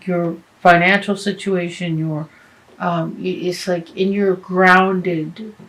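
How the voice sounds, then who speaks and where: A woman lays out a tarot reading at an unhurried, deliberate pace, her voice sitting slightly low. She is losing her voice, and she hesitates often, circling back with fillers and restarts as she works through each card. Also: little pitch movement, a bit bright, poor audio. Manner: halting, many fillers and restarts. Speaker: tarot reader.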